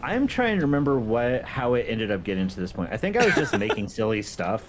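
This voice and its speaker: A man's voice: mid-range voice, very animated, brisk, a little hiss or hum. A man chatting casually as a guest, self-deprecating and wry about his own work. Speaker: guest, amateur game modder. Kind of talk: casual interview chat.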